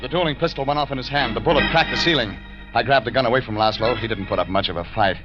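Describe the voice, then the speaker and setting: A man acting a tough private eye in an old-time radio detective drama, clipped, wry and deadpan. The recording is dull and vintage, with prominent organ music behind the voice. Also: mid-range voice, quick pace, close to an everyday voice. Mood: narrating, dry. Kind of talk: 1940s radio detective drama, narration and dialogue.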